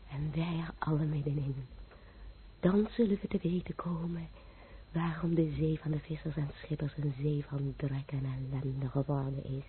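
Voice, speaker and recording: Grave male survivor, tinny radio sound. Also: a little high. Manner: grave, declamatory. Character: anguished, devout male survivor recounting atomic horror. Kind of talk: solemn radio play acting. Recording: tinny old radio recording, faint hiss.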